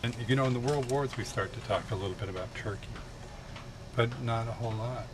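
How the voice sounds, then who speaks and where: A man working as a librarian, explaining his collection in a measured way. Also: medium pitch. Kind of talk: explanatory chat. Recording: noisy background.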